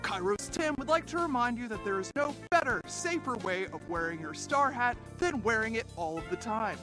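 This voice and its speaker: A cheerful, insistent announcer delivers a game radio promo. The intonation is very animated, with music clearly behind the voice. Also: male voice, very high voice, moderate pace.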